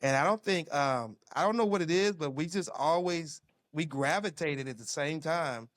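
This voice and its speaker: Animated man telling football stories. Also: a little high, normal speed, dry recording, clean studio sound. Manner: animated storyteller with laughs. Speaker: former football player, guest. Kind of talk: casual reminiscing conversation.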